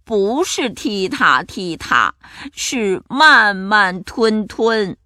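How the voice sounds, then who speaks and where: A woman voicing a timid, slow-spoken male ugly monster in a children's story, with a hesitant, stammering delivery. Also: on the high side, very animated, pushed and bright, dry recording, studio quality, full character voice. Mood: meek, slow.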